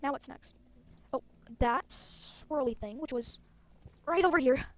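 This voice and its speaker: A woman's voice: sits high, a little slow, a bit bright. A woman talking through a video game quest as she plays and reads it aloud. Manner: dramatic, does character voices. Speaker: game player narrating a quest. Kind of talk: reading game dialogue aloud.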